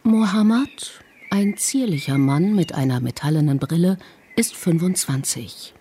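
A woman narrating a radio documentary in a calm, measured first-person voice. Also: on the low side, a little hiss or hum. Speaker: feature author and narrator.

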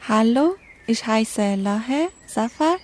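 An eighteen-year-old woman from Afghanistan speaking halting beginner German, searching for her words. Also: a little high, animated, darkish tone. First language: not German. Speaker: interviewee.

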